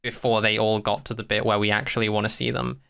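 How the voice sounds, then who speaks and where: A man's voice: fairly low pitch, little pitch movement, quick pace, gravelly, pushed and bright, clean tone. A man co-hosting a podcast, chatting in an informal, dryly flippant way. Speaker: podcast co-host. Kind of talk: casual chat about a TV series.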